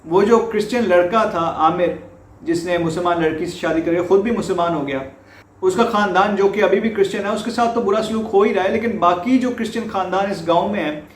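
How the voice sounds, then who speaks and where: Male news presenter, Pakistani accent, high register, explanatory and emphatic through repetition, roomy echoing sound. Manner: explanatory, repeats points for emphasis. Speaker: host presenting a news report. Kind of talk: explanatory news commentary to viewers. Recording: echoey room.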